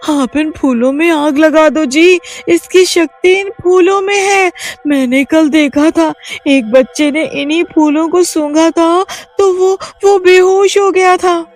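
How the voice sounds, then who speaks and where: A jumpy young village woman in a spooky cartoon, voiced by a woman, high and shrill and quick to wail. Her voice swoops across a wide range, and a faint music bed sits behind her. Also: very animated, a little mellow, full character voice. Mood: pleading. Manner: shrill and wailing, easily frightened. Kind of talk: cartoon voice acting.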